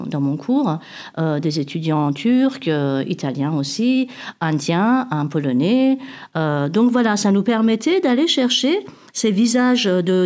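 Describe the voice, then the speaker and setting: A woman from Iran with a somewhat low voice talks through an academic project. She speaks haltingly, with frequent fillers, repeated words and restarts as she gathers her thoughts. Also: darkish tone. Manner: hesitant, many fillers and restarts. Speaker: university researcher. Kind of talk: academic interview answer.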